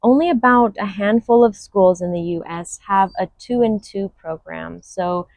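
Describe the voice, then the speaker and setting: A woman's voice: medium pitch, a little slow, a little mellow, dry recording. A young woman from Hawaii, a veterinary student, speaking fluently as an interview guest. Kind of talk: unscripted interview.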